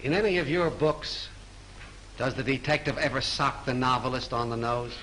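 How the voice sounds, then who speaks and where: A man's voice: medium pitch, moderate pace, fairly bright tone, slight background noise, close to an everyday voice, low-quality recording. An actor playing a brusque, sarcastic male police lieutenant who questions people bluntly, in 1930s film style. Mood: gruff. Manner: blunt, needling interrogation.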